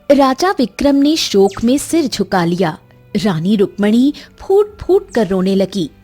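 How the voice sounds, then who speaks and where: A woman's voice: medium pitch, a little mellow. A woman giving dramatic scripted narration of a cartoon fairy tale. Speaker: story narrator.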